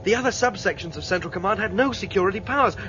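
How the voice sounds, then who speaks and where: A man playing an urgent, defiant rebel on the run in a 1970s sci-fi radio drama, brisk and insistent. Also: high voice, background noise, lightly acted. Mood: tense reasoning. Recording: dull lo-fi radio recording, faint music.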